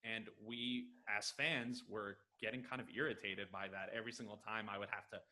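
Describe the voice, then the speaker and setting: An American man from Los Angeles joins a podcast by headset from his home. His voice sits a little low, and he talks with eager, quick enthusiasm, sprinkling in fillers. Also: fairly even intonation, pushed and bright, rough recording. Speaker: podcast guest. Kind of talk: casual interview answers. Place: home room, video call.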